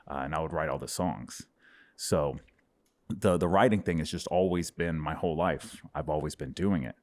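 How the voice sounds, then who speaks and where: Man, thirties, from Dallas, comedian, very deep voice, reflective storyteller, studio mic. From Dallas, Texas. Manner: reflective storyteller, animated hand gestures. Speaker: stand-up comedian guest. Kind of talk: casual interview chat. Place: home studio with bookshelves. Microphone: boom-mounted studio mic with headphones.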